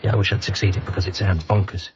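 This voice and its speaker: A low-pitched, youngish British man played by an actor narrates as an anxious, self-deprecating son. He is hesitant, wry and earnest, in radio comedy drama style. The sound is slightly muffled. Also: little pitch movement, fast talker, background noise, close to an everyday voice. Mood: defensive, earnest. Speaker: narrator and lead character.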